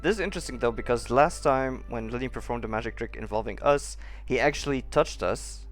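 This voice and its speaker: A man's voice: medium pitch, a little fast. A man giving casual, rambling playthrough commentary as a gaming streamer, close to the mic.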